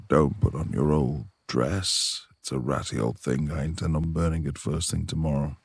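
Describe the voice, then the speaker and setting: A man playing a brooding, reclusive beast of a manor lord in a romantic ASMR roleplay, gruff yet tender, with gravelly, breathy speech and formal old-fashioned phrasing. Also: rumbling low, a little mellow, no echo, full character voice. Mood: stern, impatient.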